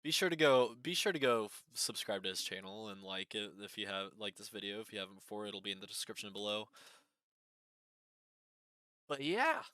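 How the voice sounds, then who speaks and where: A man hosting a reaction video with casual unscripted commentary, halting and repeating his phrases. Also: lowish voice, expressive, bright, forceful tone, smooth, no echo.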